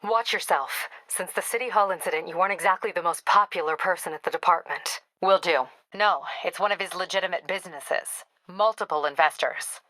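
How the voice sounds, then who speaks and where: A woman playing a tough, wry police captain in a video game, brisk, clipped and dryly sardonic. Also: fairly low pitch, pushed and bright, no echo, rough recording. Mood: businesslike, dry.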